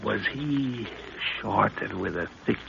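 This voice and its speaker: A man's voice: fairly low pitch, takes it slowly, creaky, full character voice. A middle-aged man playing a resolute father and jungle adventurer, clipped, urgent and commanding, in 1930s radio drama style. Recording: dull, hissy 1930s radio recording.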